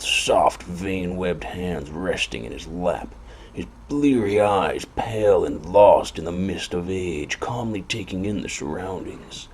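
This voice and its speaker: A man playing a world-weary private detective, speaking in a brooding, deadpan, sardonic noir style. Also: deep voice, a little hiss or hum. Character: gloomy, sardonic hard-boiled male private detective. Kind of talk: hard-boiled noir detective narration and dialogue.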